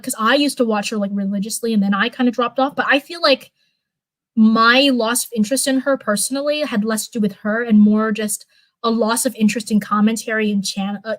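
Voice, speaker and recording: A young woman with a fairly high voice rambles through a relaxed livestream chat, piling up fillers and restarts as she talks to her viewers. Her voice is turning hoarse after hours of talking, and the sound is dry and close with no room echo. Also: fairly quick.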